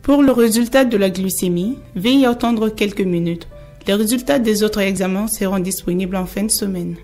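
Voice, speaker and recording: A woman voices a courteous, businesslike lab worker in an educational cartoon. Her medium-pitched voice is mellow and dark, over faint music. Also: normal speed, natural voice. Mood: polite, businesslike. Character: polite, businesslike female laboratory worker.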